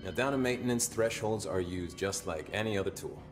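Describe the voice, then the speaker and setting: Man acting gruff security guard, game character, deep voice, casual, sardonic, music behind. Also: a little fast, close to an everyday voice. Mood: wry, casual. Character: gruff, wry male security officer, casually grumbling. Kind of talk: video game dialogue acting.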